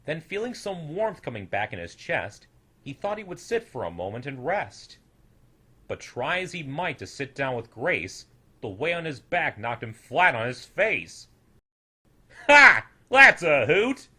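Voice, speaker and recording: A young man reading a rhyming children's story as an expressive, theatrical storyteller with a sing-song rhythm. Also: mid-range voice, unhurried, husky. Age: young adult.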